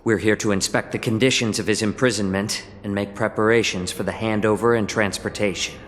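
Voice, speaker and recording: A man playing a composed, courteous fox-folk envoy in a video game, speaking in a measured, formal way with a dry teasing edge. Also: fairly low pitch, fairly even intonation, big-room sound, close to an everyday voice. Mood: formal, polite.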